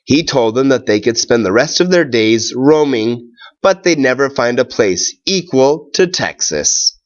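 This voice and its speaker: A man reading a children's tall tale aloud, with expressive, deliberate phrasing, drawn-out words and pauses. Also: medium pitch, dull audio.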